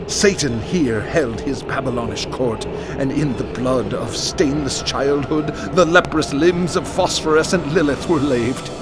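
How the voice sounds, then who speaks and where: Actor as haunted man, high-pitched, over music. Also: close to an everyday voice. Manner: florid, intense, theatrical recitation. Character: haunted, traumatized man recounting nightmarish cosmic horrors. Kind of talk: radio drama horror monologue.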